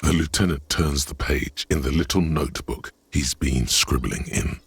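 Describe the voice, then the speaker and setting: Very deep, husky, brooding narrator. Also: male voice, no echo, full character voice. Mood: dry, matter-of-fact. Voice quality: very deep, gravelly rasp. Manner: slow, deliberate, dry. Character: solemn, brooding male inner-voice narrator of detective game. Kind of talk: scripted game narration.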